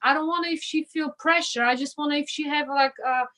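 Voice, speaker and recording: Higher-voiced mother searching for words. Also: fairly even intonation, quick pace, sharp tone, faint music behind. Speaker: parent panelist. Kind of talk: casual panel chat.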